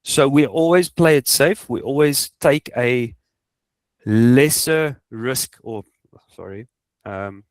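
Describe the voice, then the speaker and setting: A man's voice: medium pitch, takes it slowly, no echo. A man with a South African Afrikaans accent, a native Afrikaans speaker, coaching informally and emphatically, repeating points and asking rhetorical questions. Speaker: trading coach. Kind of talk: informal instructional screen walkthrough.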